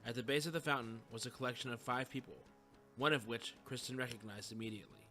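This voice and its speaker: A man's voice: medium pitch, fairly even intonation, quick pace, pushed and bright. Man narrating a story over music.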